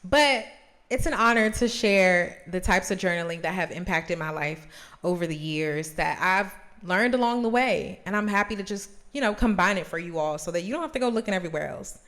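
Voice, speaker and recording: A woman's voice: lowish voice, lively intonation, a little fast, fairly bright tone. Thirty-year-old woman, encouraging and emphatic. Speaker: host of a journaling video series. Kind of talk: casual talk to camera.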